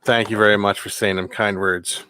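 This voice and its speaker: Deep-voiced man, bright and dry. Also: little pitch movement, normal speed, clear, resonant tone. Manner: hesitant, full of ums, chuckles. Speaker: guest. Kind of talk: casual chat.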